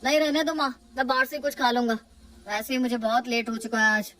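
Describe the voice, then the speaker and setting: A woman's voice: a little high, level tone, fairly quick, fairly bright tone, recorded from a distance, close to an everyday voice. A woman voicing an irritable young son who snaps at his mother and later reproaches her.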